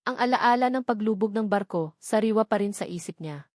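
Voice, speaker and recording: A woman narrates an audio romance in a scripted, dramatic storytelling style. Her pitch sits in the middle range for a woman. The recording is very dry, with no room sound. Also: brisk, darkish tone, no echo.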